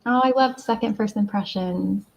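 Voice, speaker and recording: A woman's voice: mid-range voice, moderate pace, vocal fry. A woman on a livestream panel, chatting casually. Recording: dull lo-fi call audio.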